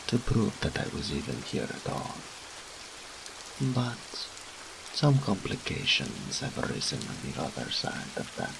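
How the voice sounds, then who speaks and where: Casting: male incubus demon, played by a man, smug and theatrical, slow drawl with wide swoops, audio drama with clear rain-like hiss behind. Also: extremely low pitch. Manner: teasing, haughty, purring, theatrical drawl. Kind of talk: scripted roleplay audio drama monologue.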